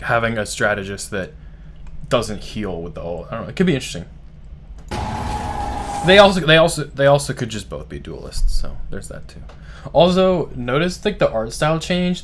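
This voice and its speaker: A man hosting a live stream, reacting to a game trailer in casual, excited, rambling talk full of "like". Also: mid-range voice, a little hiss or hum. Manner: excited, rambling, heavy use of "like". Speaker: streamer host.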